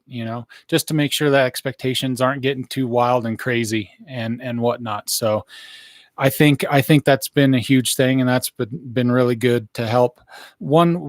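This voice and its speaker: A hoarse man in his thirties giving a casual, rambling live update into a studio microphone in a small room. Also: mid-range voice, dry recording. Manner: rambling, many fillers and restarts. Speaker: vlogger giving a health update. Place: small indoor room, wood-plank backdrop. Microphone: studio mic on boom arm in front.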